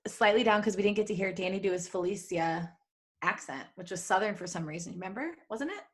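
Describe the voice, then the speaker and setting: A woman's voice: mid-range voice, expressive, fairly quick. A woman co-hosting a casual, joking live podcast, chatty and prone to giggling. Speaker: podcast co-host.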